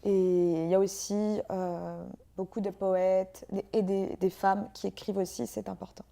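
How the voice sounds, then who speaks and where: A woman from France, a guest author and poet, talking in an interview and pausing often with hesitant fillers. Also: mid-range voice, little pitch movement, vocal fry, dark tone. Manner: hesitant, frequent filler pauses. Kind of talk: interview conversation.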